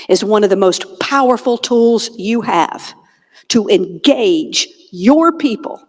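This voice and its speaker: A woman with a Southern US accent, from Georgia, gives a humorous motivational keynote on a stage mic, telling stories with dramatic pauses and near-whispered punchlines. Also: fairly high pitch. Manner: dramatic storyteller, drops to a near whisper on key lines, comic asides. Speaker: motivational keynote speaker and comedian. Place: conference hall stage. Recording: long reverb of a large hall.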